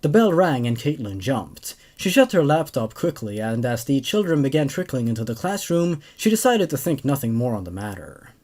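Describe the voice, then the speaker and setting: A man in his twenties hosting and narrating horror stories in an expressive, animated way, recorded indoors at a desk microphone. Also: medium pitch, moderate pace, hardly any pauses. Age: 20s. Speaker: host of a horror story reading show. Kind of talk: scripted horror narration with casual commentary. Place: small room at home, indoors. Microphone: large condenser desk microphone.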